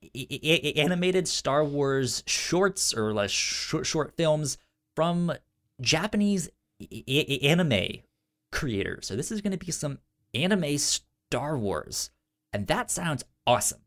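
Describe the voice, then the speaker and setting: A man with a stammer chatting casually and enthusiastically as a podcast co-host, often halting on repeated sounds. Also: on the high side, very animated, a bit bright. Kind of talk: casual unscripted podcast chat about entertainment news.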